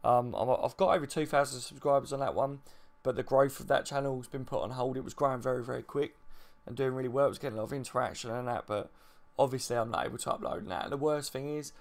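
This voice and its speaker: Quiet, deep-voiced man chatting. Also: steady tone, fast talker, vocal fry. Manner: quiet, laid-back, chatty. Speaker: channel host. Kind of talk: casual live stream chat. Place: at home, baby asleep next door. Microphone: mic right in front of his mouth.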